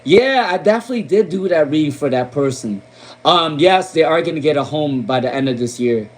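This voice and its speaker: Chatty Long Island man with a high voice. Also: animated, a little fast. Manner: repeats names and questions, ends lines with "okay?" and "all right?"; casual, chatty, lots of "um". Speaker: tarot reader. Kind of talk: live-stream tarot reading.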